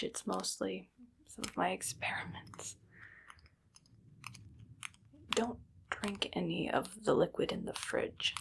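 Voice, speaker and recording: Woman playing a whispering evil mad scientist, curt and creaky. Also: fairly low pitch, rough recording. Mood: curt, warning. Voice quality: breathy whisper with creaky edges. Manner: bossy, deadpan threats. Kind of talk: whispered ASMR roleplay.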